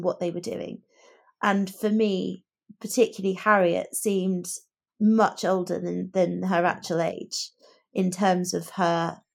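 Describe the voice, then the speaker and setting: A woman co-hosting a casual book discussion, giving her opinions in a reflective, measured way. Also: medium pitch, gravelly. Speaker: book podcast host.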